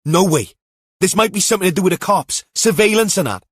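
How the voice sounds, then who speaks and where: A man's voice: high-pitched, animated, fairly quick, slightly rough, no echo, studio quality, pitched above the performer's own voice. A man voicing a nervous, cautious younger teenage boy in a thriller audiobook, anxious and protesting. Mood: anxious warning.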